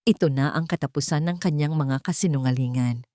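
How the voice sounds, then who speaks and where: A woman reading a dramatic scripted story as narrator, in a measured and solemn manner. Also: deep voice, dark tone, no echo, studio quality.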